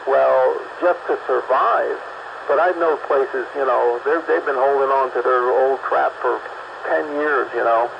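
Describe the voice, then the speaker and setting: A man's voice: medium pitch, a little slow, gravelly. A man chatting casually on a radio show in an easygoing, agreeable way. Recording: muffled recording with loud hiss.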